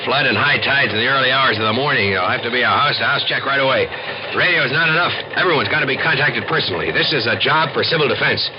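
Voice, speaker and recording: An actor playing an urgent, decisive civil defense official in a vintage radio drama, on a noisy, muffled recording. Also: male voice, mid-range voice, fast talker, close to an everyday voice. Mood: grave, urgent. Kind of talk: 1950s radio acting.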